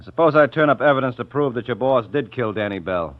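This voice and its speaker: An actor playing a terse, straight-talking hero in an old radio crime drama, clipped and insistent. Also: male voice, medium pitch, expressive, a little fast, lightly acted. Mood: grudging. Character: terse, hard-pressed male hero, a straight-talking tough. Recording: dull vintage radio recording.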